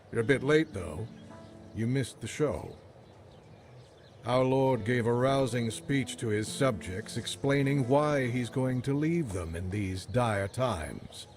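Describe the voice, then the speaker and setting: An actor playing a blunt, sardonic knight in a video game, with music behind. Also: male voice, on the low side, creaky, a little mellow, exaggerated voice.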